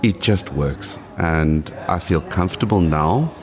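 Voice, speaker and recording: A man's voice: sits low, expressive, unhurried, a crowd in the background. A man in his late forties with a South African accent, chatting in a casual interview and repeating phrases for emphasis. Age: late 40s. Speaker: podcast guest.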